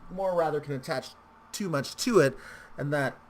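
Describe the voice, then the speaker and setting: A man hosting a casual software tutorial, talking in a rambling, informal way with wry asides. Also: on the high side, normal speed, a little hiss or hum. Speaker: tutorial host.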